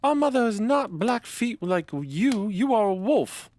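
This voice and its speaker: A man voicing a group of small, wary goat kids with squeaky, defiant cries. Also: big pitch swings, measured pace, a little mellow.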